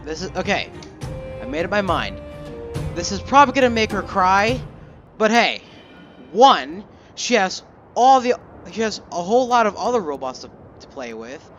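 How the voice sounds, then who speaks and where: A young man giving casual, halting game commentary, thinking aloud as he plays. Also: high voice, big pitch swings, fairly bright tone, highs cut off. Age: young adult. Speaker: gamer recording a playthrough. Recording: game music behind voice.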